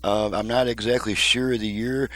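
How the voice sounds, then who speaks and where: A man's voice: fairly low pitch, fairly even intonation, normal speed, fairly bright tone, no room sound, poor audio. A man giving a casual hands-on demonstration as a repair technician, explaining methodically in plain words, step by step. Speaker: radio repair technician, video host.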